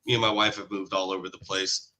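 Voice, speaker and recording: A man's voice: deep voice, level tone, quick pace, bright, forceful tone, slight background noise. A man in his thirties, originally from Hawaii, hosting a live stream and telling stories about car audio in a forthcoming way. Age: 30s.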